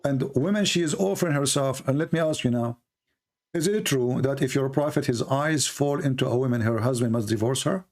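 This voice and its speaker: A man's voice: medium pitch, a little fast. A man whose first language is Arabic, hosting a heated debate and pressing his points with rhetorical questions. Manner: forceful, argumentative, rhetorical questions. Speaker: debate host.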